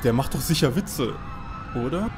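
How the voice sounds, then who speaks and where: An actor playing a naive, easily worried young detective in a parody audio play. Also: male voice, medium pitch, expressive, moderate pace, over music, natural voice. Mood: naive, uneasy.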